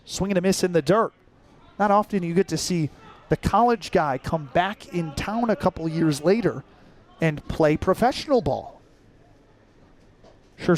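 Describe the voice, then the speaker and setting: A young American man calling baseball live as a radio play-by-play announcer, in clipped, descriptive phrases. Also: high voice. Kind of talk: live sports commentary.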